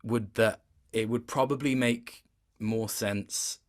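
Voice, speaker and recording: A man's voice: fairly low pitch, steady tone. Thoughtful man in a casual interview. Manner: thoughtful, halting, with many self-corrections. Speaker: voice actor, interview guest.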